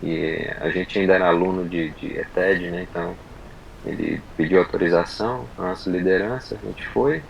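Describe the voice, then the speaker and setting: Deep gravelly Brazilian man. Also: lo-fi sound, slight background noise. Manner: unhurried, halting, many fillers and restarts. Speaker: podcast guest. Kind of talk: casual testimony chat.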